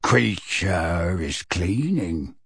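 A man voicing a bitter old male house-elf servant in a low, grumbling, resentful mutter. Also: big pitch swings, very slow, creaky, fairly bright tone, slightly rough, no room sound, studio quality, heavily acted. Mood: servile. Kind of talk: audiobook character voice.

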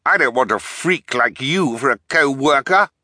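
A man's voice: medium pitch, expressive, normal speed, sharp tone, dry recording, studio quality, voice pushed up. Man voicing a snappish, scornful henchman. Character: snappish bald male henchman, scornful and indignant.

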